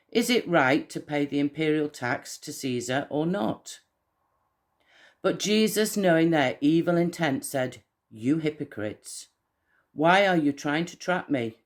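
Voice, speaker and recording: A man reading a Bible passage aloud as a member of a church. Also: a little high.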